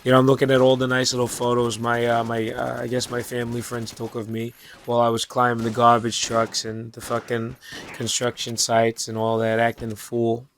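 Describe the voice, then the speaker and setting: Young man, 20s, hoarse, raspy, rambling monologue, lots of fillers, casual swearing, middle pitch. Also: completely flat delivery, brisk, a little hiss or hum. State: hoarse from losing his voice. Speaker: channel host. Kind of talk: late-night personal monologue.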